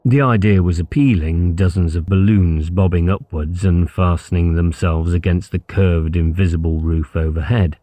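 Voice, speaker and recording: A male audiobook narrator with a very low, mellow voice. He reads literary prose as scripted narration, captured in a very dry recording. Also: steady tone, normal speed, few pauses, dry recording.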